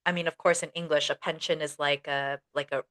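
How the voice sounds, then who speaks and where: A young woman co-hosting a casual teaching podcast, telling stories in an animated way and acting out bits of dialogue. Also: deep voice, fairly quick. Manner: animated storytelling, acts out quoted dialogue. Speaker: podcast co-host, ESL educator. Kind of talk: casual chat.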